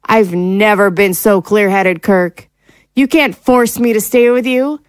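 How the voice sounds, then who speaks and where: A woman playing a composed, firm wife and mother in an audio drama, speaking in a measured, reasoning way. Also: mid-range voice, clear, resonant tone, no room sound, studio quality, natural voice. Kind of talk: screenplay-style audio drama acting.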